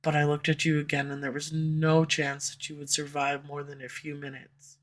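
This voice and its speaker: A woman's voice: low voice, completely flat delivery, moderate pace, natural voice. A woman voice actor playing a proud, guarded female villain who swears and turns defensive when pushed, recorded on a USB condenser mic. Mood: somber, confessional. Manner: curses often, defensive and flustered when pressed. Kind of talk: audio roleplay acting. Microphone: USB condenser microphone.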